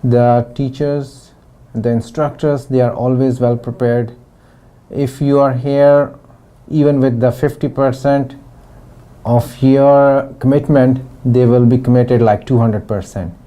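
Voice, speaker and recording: A middle-aged man from Pakistan giving a testimonial interview about his training, talking in a halting way with frequent restarts. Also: mid-range voice, steady tone, warm tone. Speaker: former student giving a testimonial.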